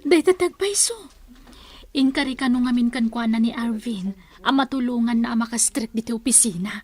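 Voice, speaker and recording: Actress as a high-pitched gossipy office worker, Ilocano. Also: female voice, normal speed, darkish tone, lightly acted. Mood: gossipy, concerned. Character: deferential, gossipy female office employee. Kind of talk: Philippine radio drama acting. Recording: music under the voice.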